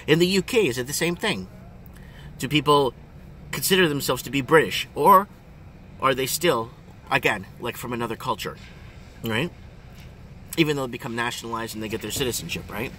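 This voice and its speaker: Bright-voiced man chatting over car noise. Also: medium pitch, expressive, brisk, pauses often. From Ontario, Canada. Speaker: vlogger. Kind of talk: casual chat to viewers, rambling. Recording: engine and road noise in car.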